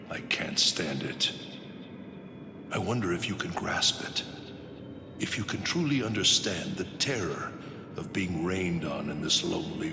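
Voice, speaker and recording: A low, slow voice for an ancient, devoted guardian spirit in an anime game. He is grave and gravelly, speaking in a long echoing reverb. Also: exaggerated voice. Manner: grave, solemn, measured. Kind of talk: anime video game voice acting. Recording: echoing reverb, ambient noise behind.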